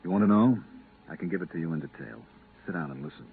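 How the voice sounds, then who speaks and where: An actor playing a weary, blunt veteran at a moon research station, firm and curt, in 1950s radio drama style. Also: male voice, deep voice, fast talker, gravelly. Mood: grim. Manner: firm, curt, grimly insistent. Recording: tinny, band-limited, hissy 1950s radio recording.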